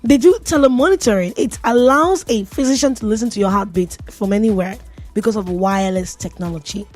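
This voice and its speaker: A woman's voice: medium pitch, fairly quick, a little mellow, soft background music. A woman with a Nigerian accent hosting a radio show, talking in a chatty, playful way and often laughing. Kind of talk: casual radio tech talk. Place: radio studio.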